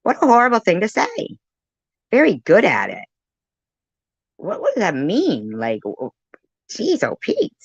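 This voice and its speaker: A woman's voice: medium pitch, wildly swooping pitch, unhurried, long pauses, slightly rough, dry recording, lo-fi sound. A woman giving casual reaction commentary on a live stream, chatty and argumentative as she interjects.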